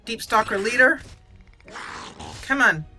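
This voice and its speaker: A woman's voice: fairly high pitch, lively intonation, takes it slowly, music in the background. A woman giving casual roleplay commentary as she plays a game, talking to her character and musing in role. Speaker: gaming channel host. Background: video game sounds.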